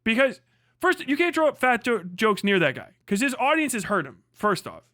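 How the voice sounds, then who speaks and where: A man's voice: very high-pitched, big pitch swings, normal speed, sharp tone, no echo, clean studio sound. A man giving casual, comedic livestream commentary, profane and emphatic, often repeating phrases for emphasis. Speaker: livestream host.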